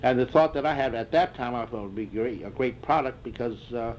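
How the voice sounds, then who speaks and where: A man's voice: mid-range voice, darkish tone. Older man recalling his life, lo-fi tape. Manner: reflective, halting with many fillers and restarts. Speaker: interviewee. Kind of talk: oral history interview. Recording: dull lo-fi tape with faint hiss.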